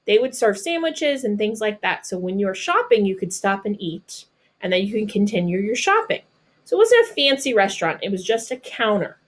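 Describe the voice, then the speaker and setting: A woman with an American accent reads a picture book aloud like a teacher, pausing to explain things simply and patiently to children. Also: mid-range voice. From North Carolina, United States.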